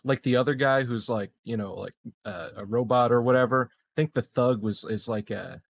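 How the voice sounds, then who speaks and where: A man playing a tabletop roleplaying game, bantering casually in character in a deadpan, rambling way. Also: mid-range voice, normal speed, gravelly.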